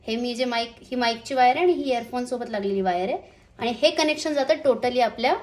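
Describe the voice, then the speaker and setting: A woman's voice: fairly high pitch, brisk. A woman casually explains a product as a vlogger, hesitating as she goes and mixing in English words. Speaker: motovlogger presenting a product. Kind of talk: casual unboxing and installation demonstration.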